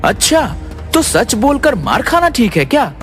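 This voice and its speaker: A woman's voice: fairly high pitch, very animated, measured pace. A woman voicing a sly, self-serving young boy in a children's cartoon story. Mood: self-justifying. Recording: music behind the voice.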